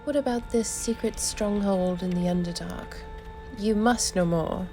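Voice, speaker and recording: A woman's voice: mid-range voice, a little slow, dark tone, soft background music, natural voice. A woman voicing a direct, inquisitive adventurer heroine in a fantasy game, plain-spoken and composed. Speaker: amateur voice actor. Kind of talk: scripted fantasy game dialogue.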